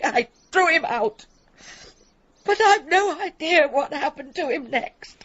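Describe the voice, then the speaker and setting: A woman's voice: sits high, expressive, takes it slowly, a bit bright, dull audio, heavily acted. An actress playing a bitter, proud, guilt-ridden middle-aged mother in a radio drama. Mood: defensive, regretful.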